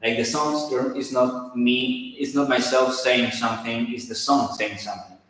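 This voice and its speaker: A man whose first language is Spanish, speaking English haltingly and searching for words during a live band Q&A. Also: medium pitch, level tone, a bit bright. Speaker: band member. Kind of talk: live stream Q&A. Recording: echoey room, far from mic.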